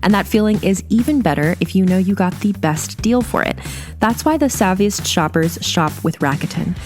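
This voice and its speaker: A woman's voice: mid-range voice, lively intonation, moderate pace, darkish tone, soft background music. A woman narrating a scripted advertisement. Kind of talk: scripted ad read.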